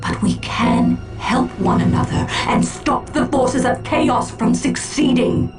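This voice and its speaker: Slow, grave alien seer. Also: female voice, fairly high pitch, sing-song, a little mellow, soft background music. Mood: cryptic, grave. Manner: grave, portentous, measured. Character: solemn, cryptic female alien seer, proud and commanding. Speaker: video game voice actor. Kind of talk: dramatic video game cutscene acting.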